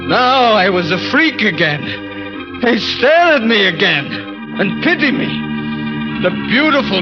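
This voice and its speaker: An actor playing a tormented murderer, a former midget grown into a giant, in intense, melodramatic 1940s radio horror style. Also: male voice, sits high, creaky, full character voice. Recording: dull 1940s radio recording, faint music.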